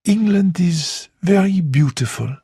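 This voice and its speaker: A reader doing a courtly old gentleman. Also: mid-range voice, lively intonation, unhurried, slightly rough, no room sound, clean studio sound, pitched above the performer's own voice, exaggerated voice. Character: polite, genteel older gentleman detective.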